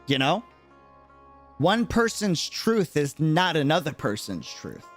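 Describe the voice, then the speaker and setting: Male game streamer, lively swooping intonation, earnest chatty explainer, faint music behind him. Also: fairly high pitch, slow pace. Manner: conversational, earnest, explaining by examples. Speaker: game livestreamer. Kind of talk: casual livestream commentary.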